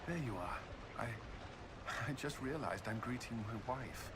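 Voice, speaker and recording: An actor playing a devoted military commander who speaks earnestly and a little bashfully. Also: male voice, medium pitch, lively intonation, unhurried, very noisy, lightly acted. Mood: tender. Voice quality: warm, earnest, slightly bashful. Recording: game scene with music behind.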